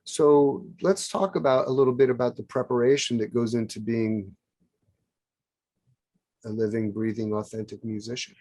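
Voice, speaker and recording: A man hosting a music interview on a video call from his home studio, relaxed and encouraging. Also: medium pitch, moderate pace.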